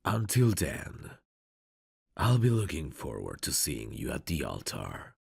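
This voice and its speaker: A man acting a courteous, tender mafia bridegroom in an intimate ASMR romance roleplay, soft-spoken and flirtatious with a husky, gravelly voice. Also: extremely low pitch, wildly swooping pitch, slow, dry recording, studio quality, exaggerated voice. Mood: apologetic, hopeful.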